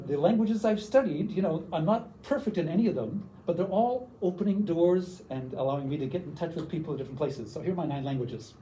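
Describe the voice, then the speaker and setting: A man's voice: fairly high pitch, mellow, distant mic, slight background noise, rough recording. An older man from Canada giving a teacher workshop, enthusiastic and often checking in with "okay?". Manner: enthusiastic, tags lines with "okay?". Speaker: workshop presenter.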